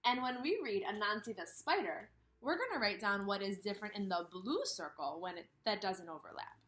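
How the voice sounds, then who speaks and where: A woman in her thirties teaching and reading aloud to kindergarteners, expressive, with questions and emphatic pauses. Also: mid-range voice. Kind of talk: instructional read-aloud for young children. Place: indoors, room with a brick wall.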